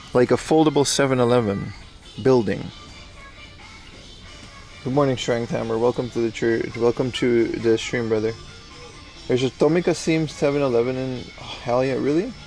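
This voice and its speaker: A young man livestreaming as he browses a secondhand shop, chatting excitedly and thanking viewers, recorded on a handheld phone. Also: mid-range voice. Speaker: streamer. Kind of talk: casual IRL livestream chat.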